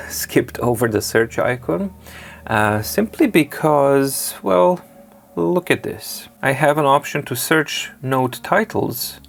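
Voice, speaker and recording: A man's voice: on the low side, vocal fry. Serbian man walking through a gadget demo. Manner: enthusiastic, explanatory, measured with hesitations. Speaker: tech reviewer. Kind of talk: screen demo walkthrough.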